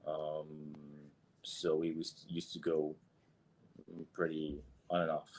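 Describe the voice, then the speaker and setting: A man's voice: very deep voice, completely flat delivery, slow, background noise. A man from Israel with an Israeli accent, speaking English as a guest in a casual interview, hesitant with many restarts. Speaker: guest, agency founder.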